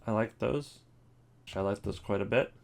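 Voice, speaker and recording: A man's voice: fairly low pitch, moderate pace, vocal fry, darkish tone. A man giving casual, rambling commentary as a video creator, offhand and often interrupting himself. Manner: offhand, hesitant, self-interrupting. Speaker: video creator ranking game voice lines.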